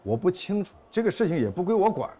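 An actor playing a harried court officer in a screen drama, placating and evasive, with dry exasperation and repeated words. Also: male voice, high-pitched, sing-song, quick pace, dark tone, slightly rough, full character voice. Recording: noticeable background noise and ambience.

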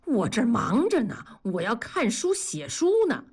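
A woman doing a grumpy, animated retired husband. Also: a little high, unhurried, husky, pitched above the performer's own voice, full character voice. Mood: sulky, grumbling. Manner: grumbling, colloquial northern Chinese speech. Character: grumpy, restless retired husband, stubborn and easily flustered.

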